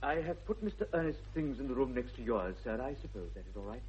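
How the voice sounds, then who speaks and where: A man playing a formal manservant with a measured, deferential manner in a radio comedy. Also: mid-range voice, animated, a crowd in the background, natural voice. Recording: dull, faintly noisy 1960s radio recording.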